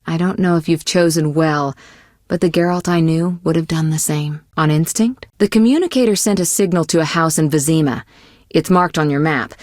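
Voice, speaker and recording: A woman playing a poised, worldly young sorceress in a video game, caring but teasing and possessive, with measured, articulate delivery. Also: deep voice, a little mellow, no echo, clean studio sound, close to an everyday voice. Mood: composed, gently chiding. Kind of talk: scripted video game voice acting.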